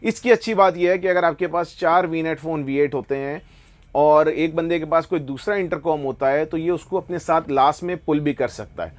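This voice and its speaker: Man, Pakistani accent, moderately high pitch, casual vlog-style sales pitch, enthusiastic explainer, frequent English code-switching. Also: moderate pace. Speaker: online motorbike gear seller presenting products.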